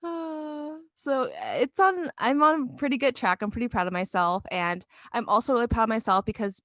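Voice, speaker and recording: A woman's voice: fairly high pitch, animated, normal speed, fairly bright tone, no room sound. Young American woman, informal and giggly, dry. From California, United States. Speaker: podcast co-host. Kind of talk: casual chat.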